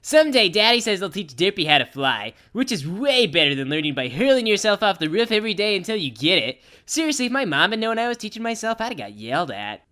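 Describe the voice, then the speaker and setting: A woman's voice: medium pitch, sing-song, quick pace, few pauses, fairly bright tone. A woman voicing a brash young female pony who narrates a children's story in simple childlike phrases mixed with sardonic slang. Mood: dry, casual aside.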